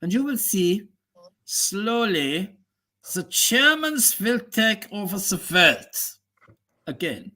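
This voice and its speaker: A man voicing a boastful, bossy male German professor, rambling slowly in a mock German accent on a comic live stream. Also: high voice, sing-song, bright, forceful tone, full character voice, low-quality recording. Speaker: guest.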